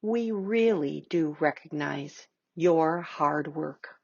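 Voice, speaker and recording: Slow, husky woman presenting an award. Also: sits low. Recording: dull muffled recording.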